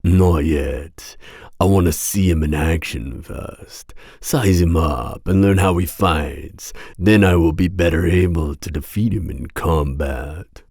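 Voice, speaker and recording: A man playing a masked villain mercenary in an ASMR roleplay, speaking in a formal, deliberate, seductive and menacing way. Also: sits low, a little mellow, no room sound, studio quality, full character voice. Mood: courteous, confident. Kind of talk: ASMR roleplay audio drama.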